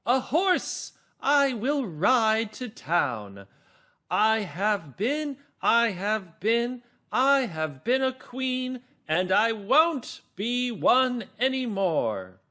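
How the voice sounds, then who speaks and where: A man voicing a stubborn, put-upon queen in children's reader's theater, declaiming in drawn-out, stressed chants. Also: sits high, big pitch swings, pushed and bright, pitched above the performer's own voice, heavily acted. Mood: defiant, emphatic.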